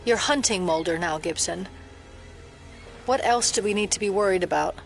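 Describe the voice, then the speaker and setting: An actress playing a skeptical, steadfast federal agent in an audio drama, speaking in a grave, probing and controlled way. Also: female voice, mid-range voice, moderate pace, soft background music, lightly acted. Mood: suspicious, probing.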